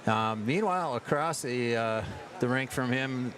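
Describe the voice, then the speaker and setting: An American man hosting a livestream from a sports event in a casual, halting way, pausing often mid-sentence. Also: medium pitch, a crowd in the background. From United States. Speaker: livestream host at an ice hockey tournament. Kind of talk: casual live chat. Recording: clear urban ambient noise, live on location.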